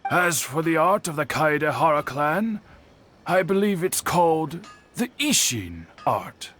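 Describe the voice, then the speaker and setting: A man playing a dignified, earnest traditional master swordsmith in a game, deliberate and formal in speech. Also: a little high, big pitch swings, a bit bright, a little hiss or hum. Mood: solemn, instructive. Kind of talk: game voice acting.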